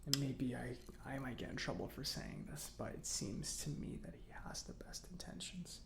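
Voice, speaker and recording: A man playing a chatty, opinionated robot mechanic in an ASMR roleplay, speaking softly and often near a whisper in a halting, musing, conversational way. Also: medium pitch, animated, creaky. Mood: reflective, doubtful. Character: chatty, opinionated male government robot mechanic, gently confiding. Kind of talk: soft-spoken ASMR roleplay.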